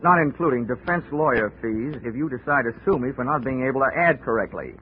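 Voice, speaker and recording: An actor playing a wry, hardboiled investigator with deadpan wisecracks in a 1940s radio drama. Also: male voice, medium pitch, a little fast, lo-fi sound, a little hiss or hum, lightly acted. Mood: dry, wry. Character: wry, hardboiled male insurance investigator narrating his case. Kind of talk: 1940s radio acting, detective narration. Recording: band-limited old radio recording.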